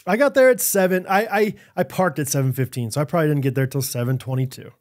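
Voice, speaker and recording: Chatty man in his thirties, slightly high. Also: quick pace. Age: 30s. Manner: rambling storyteller, frequent laughs and restarts. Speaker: podcast co-host. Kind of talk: casual chat. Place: home podcast studio. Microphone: studio mic on boom arm, headphones.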